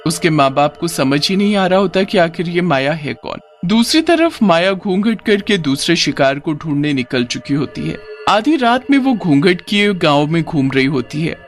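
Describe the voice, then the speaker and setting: Lively higher-pitched male story narrator. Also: moderate pace, hardly any pauses, faint music behind. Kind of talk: scripted dramatic moral-story narration.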